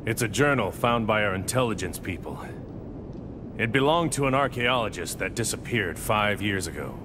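An actor playing an earnest, battle-weary squad leader in video game cutscenes, urgent and commanding. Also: male voice, fairly low pitch, lively intonation, normal speed, background noise, lightly acted. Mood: grave, determined.

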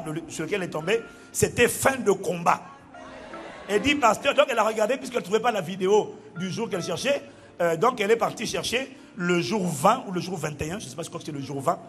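High-voiced preacher, animated, over music. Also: male voice. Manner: animated, exclaiming, bursts into shouting and laughter. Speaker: pastor. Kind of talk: sermon reading out testimonies. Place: large church auditorium with a crowd. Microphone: handheld mic.

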